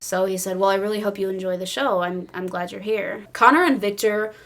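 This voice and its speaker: An American woman casually telling excited personal stories in an animated, giggly way, peppering her speech with "like". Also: mid-range voice, fairly quick. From Illinois, United States. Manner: animated, giggly, says "like" often. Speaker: podcast crew member telling fan stories.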